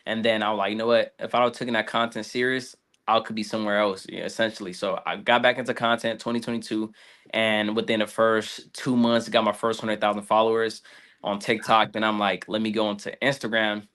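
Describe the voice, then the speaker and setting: A man's voice: fairly low pitch, little pitch movement, brisk, fairly bright tone. A man in his twenties casually telling his own story as a content creator in an interview. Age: 20s. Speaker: guest, social media content creator.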